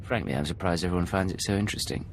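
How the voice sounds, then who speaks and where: A man playing a cool, sardonic Imperial secret agent in scripted video game dialogue, measured and dry. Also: sits low, slight background noise, lightly acted. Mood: dry, controlled.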